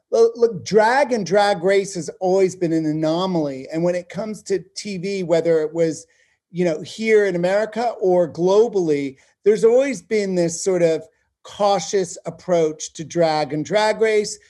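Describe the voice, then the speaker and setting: Mellow, higher-voiced man, emphatic. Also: moderate pace. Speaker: television producer being interviewed. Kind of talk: conversational interview answers.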